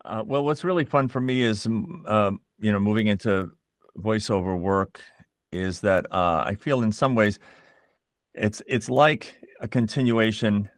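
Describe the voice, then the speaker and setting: A middle-aged man being interviewed as a voice actor, explaining things in a measured, reflective way and pausing to find his words. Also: fairly low pitch, no echo, clean studio sound.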